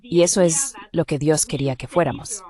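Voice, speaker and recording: Low-pitched woman explaining as an expert. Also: normal speed, crowd noise. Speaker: interview guest, academic expert.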